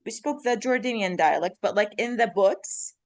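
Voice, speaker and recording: A woman's voice: medium pitch, normal speed, no room sound. A woman in her thirties from Iraq whose first language is Arabic, chatting as a podcast guest in a rambling way, often correcting herself mid-sentence. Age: 30s. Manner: rambling, self-correcting, many fillers. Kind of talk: casual chat.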